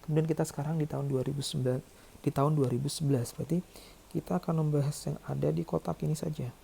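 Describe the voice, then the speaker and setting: Tutorial lecturer, very mellow and dark, gravelly edge, explains step by step. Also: mid-range voice, fairly even intonation, fast, a little hiss or hum. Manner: didactic, frequent check-in tags. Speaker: accounting lecturer. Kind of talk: tutorial narration, working through figures aloud.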